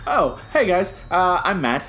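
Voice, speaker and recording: A man's voice: high-pitched, very animated, measured pace, a bit bright, slight background noise. A man co-hosting a podcast, giving his opinions in a casual, conversational review chat. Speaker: podcast co-host.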